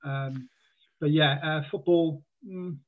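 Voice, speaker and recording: A man's voice: fairly high pitch, fairly even intonation, slow. A man from Wales with a mixed Welsh and southern English accent, chatting casually as a podcast guest and often restarting his sentences. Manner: chatty, many restarts and acted quotes. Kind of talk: casual chat. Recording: tinny phone-like line.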